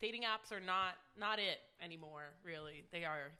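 A woman's voice: lowish voice, normal speed, bright, forceful tone, clear, resonant tone. A woman in her late twenties chatting casually as a guest on a podcast. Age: late 20s. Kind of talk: casual chat.

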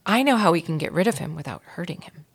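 A woman voicing an excitable young female schoolteacher who gushes and exclaims in a storybook reading. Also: low-pitched, fast talker, husky, exaggerated voice. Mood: eager. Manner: gushing, exclaiming.

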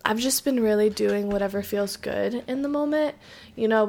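Raspy-voiced woman chatting on her podcast. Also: medium pitch. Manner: chatty, rambling, many "like"s and "um"s. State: raspy from a sore throat. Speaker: podcast host. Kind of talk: casual solo podcast chat. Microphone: podcast microphone.

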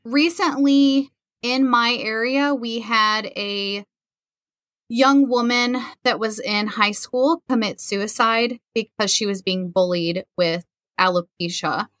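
A woman's voice: on the high side, fairly even intonation, slow pace, a bit bright, dry recording, clean studio sound. Upbeat woman hosting a podcast. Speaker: podcast host. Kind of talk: podcast interview.

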